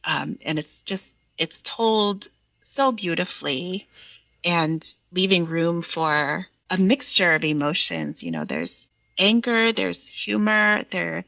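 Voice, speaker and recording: A woman's voice: fairly low pitch, expressive, slow pace, gravelly, pushed and bright, no echo, muffled recording. A woman guest on a poetry podcast talking in a thoughtful, articulate, explanatory way about literature. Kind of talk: reflective literary conversation with some poem reading.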